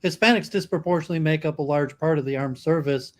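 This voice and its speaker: A man making a measured, analytical point in a live online discussion. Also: a little high. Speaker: panel participant.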